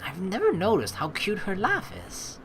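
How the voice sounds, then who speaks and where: A woman's voice: mid-range voice, wildly swooping pitch, moderate pace, a bit bright, slight background noise. A woman hosting a casual let's play, playfully reading game narration and rambling through her own commentary. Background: game background music.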